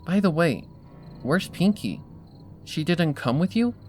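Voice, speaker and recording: A man's voice: a little high, expressive, measured pace, a little mellow, soft background music, voice pushed up. Twilight, anxious young mare, read by a man. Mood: uneasy. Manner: hesitant, polite. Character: anxious, polite, guarded young female pony.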